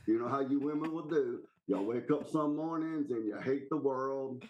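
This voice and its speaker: A man's voice: mid-range voice, moderate pace, highs cut off, slight background noise. Blunt folksy Southern man. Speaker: podcast caller. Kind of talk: casual opinionated chat.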